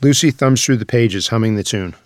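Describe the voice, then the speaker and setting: A man's voice: mid-range voice, brisk, no echo. A man reading stage directions as scripted narration for a screenplay table read.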